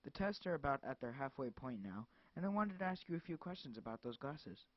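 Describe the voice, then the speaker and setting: A smug, menacing male caller, as a narrator puts him on, polite and slow, with a smile in his voice. The calm never breaks. Dry, dull lo-fi recording. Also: mid-range voice, no echo. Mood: calm menace.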